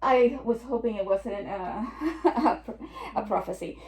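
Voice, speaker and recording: Higher-voiced Puerto Rican woman, echoey room. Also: unhurried, darkish tone. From Puerto Rico. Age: 50s. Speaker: estate planning attorney, speaker. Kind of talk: informal presentation.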